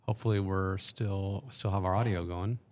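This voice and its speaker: A man's voice: deep voice, steady tone, moderate pace. A man doing casual play-by-play commentary, describing each move step by step and hesitating often with ums.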